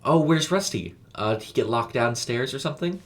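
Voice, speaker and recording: A man voicing a polite, friendly teenage girl babysitter in an audio storybook, gentle and casual. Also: medium pitch, lively intonation, brisk, voice pushed up, lightly acted. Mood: friendly, curious.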